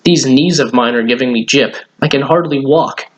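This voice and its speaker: A man's voice: mid-range voice, moderate pace, close to an everyday voice. A man voicing a kindly, puzzled old grandfather in a children's storybook. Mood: complaining.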